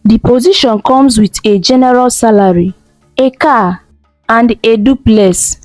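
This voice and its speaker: A woman's voice: a little high, a little slow, a little mellow, no echo, soft background music, lightly acted, low-quality recording. A woman voicing a polite, formal company caller on the phone.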